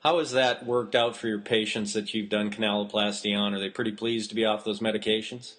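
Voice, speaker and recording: A man with a lowish voice moderates a professional conference call. He sums up what has been said and then hands questions over to the speakers. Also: little pitch movement, a little fast, a bit bright.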